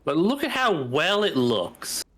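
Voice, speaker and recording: A man's voice: on the high side, very animated, measured pace, a bit bright. A man with a stuffy nose from hay fever, chatting casually on a live stream and thinking aloud as he browses. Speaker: stream co-host.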